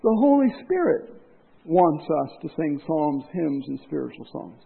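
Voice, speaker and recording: Male preacher, high gravelly voice, impassioned sermon delivery, tinny lo-fi recording. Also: very animated, a little slow. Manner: impassioned, rising to loud emphasis then dropping low. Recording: tinny phone-like lo-fi sound.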